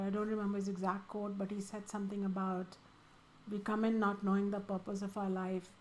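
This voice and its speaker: A woman's voice: mid-range voice, darkish tone, poor audio. A woman from India with an Indian accent, a spiritual teacher answering student questions in an earnest, emphatic way.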